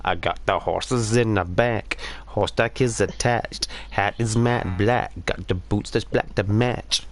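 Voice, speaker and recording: A man hosting a live game stream, croaky today and joking about himself in casual chat. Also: fairly low pitch, a little slow. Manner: jokey, self-mocking, animated. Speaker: streamer host.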